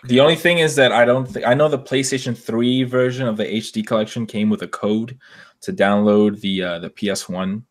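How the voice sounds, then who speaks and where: A man co-hosting a podcast, talking casually and a bit haltingly about games and movies. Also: medium pitch, fairly even intonation. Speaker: podcast co-host.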